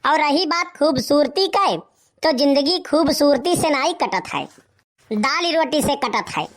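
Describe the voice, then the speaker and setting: Very high-pitched cheeky young village lad. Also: expressive, a little slow, fairly bright tone. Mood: philosophical. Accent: Awadhi, eastern Uttar Pradesh. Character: cheeky, self-assured young village man, witty suitor. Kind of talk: comedy cartoon voice acting in village dialect.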